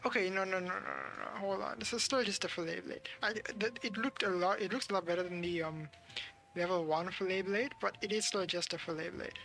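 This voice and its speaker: Casting: casual livestream gamer, forceful bright voice with fry, muttering complaints over faint game music. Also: medium pitch, a little fast. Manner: grumbling, sweary, thinking aloud. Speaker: game streamer. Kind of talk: casual livestream gameplay commentary.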